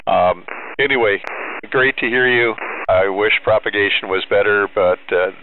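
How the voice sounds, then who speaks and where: A man from the United States working as an amateur radio operator, chatting casually and matter-of-factly with call signs and pauses. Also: fairly low pitch. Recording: narrow-band, clear background radio noise.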